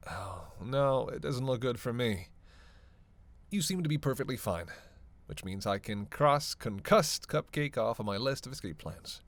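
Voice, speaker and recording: A man playing a snarky, self-serving male vampire who teases the listener in a casual, sarcastic roleplay audio drama, with a creaky voice. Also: medium pitch, expressive, measured pace, a bit bright, dry recording. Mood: dry, snarky. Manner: casual, sarcastic, teasing, cursing.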